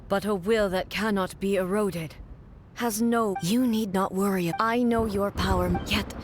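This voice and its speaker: A woman playing a stern immortal warrior ruler in a video game, speaking in a formal, measured, resolute way. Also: medium pitch, fairly even intonation, soft background music, lightly acted. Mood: resolute, reflective. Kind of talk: scripted video game acting.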